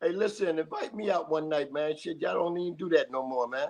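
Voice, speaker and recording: Slangy male caller, slightly high voice. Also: a little fast, vocal fry, darkish tone. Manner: slangy, says man and bro often. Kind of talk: casual chat.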